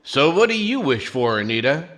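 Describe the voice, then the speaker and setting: A man's voice: mid-range voice, very animated, moderate pace, close to an everyday voice. A man playing a genial, well-meaning 1940s radio announcer with a polished, emphatic delivery. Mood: upbeat, selling.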